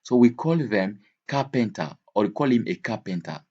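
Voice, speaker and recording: A man's voice: lowish voice, animated, moderate pace, mellow, husky, dull audio. A man teaching an English vocabulary lesson in a didactic way, repeating key words and switching between languages.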